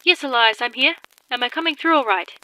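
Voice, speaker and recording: A woman's voice: high voice, a little fast, bright, forceful tone, poor audio. A woman playing a formal, precise radio field reporter in a radio drama. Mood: composed, businesslike. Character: composed female troll field reporter, gradually unnerved.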